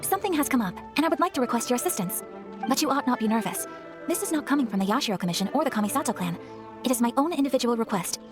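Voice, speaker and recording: An actress plays a young noblewoman in an anime game, gentle, formal and earnest. Her voice is on the high side and her polite phrases move quickly, with music clearly behind her. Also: animated, natural voice. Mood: earnest, confiding. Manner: formal, polite, carefully articulated. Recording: background music under the voice.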